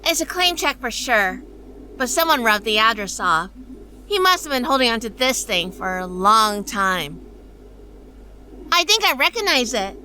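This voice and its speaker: A woman's voice: on the high side, sing-song, a little slow, sharp tone, slight background noise, full character voice, poor audio. A woman acting as a bossy, exasperated crime-solving partner in a noir audio drama, scolding and no-nonsense. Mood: focused. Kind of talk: noir radio-play dialogue and narration.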